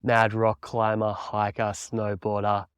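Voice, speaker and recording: A man's voice: lowish voice, completely flat delivery, slow pace, smooth, no echo. Upbeat Australian man, dry close sound. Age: 30s. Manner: upbeat and enthusiastic, laid-back slang. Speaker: podcast host and mountain bike coach. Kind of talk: casual solo podcast monologue.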